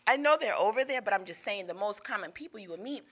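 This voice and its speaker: Bright, animated, teasing, young woman, chat-show host. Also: medium pitch, fast talker, husky. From New York. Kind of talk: casual chat with a live audience.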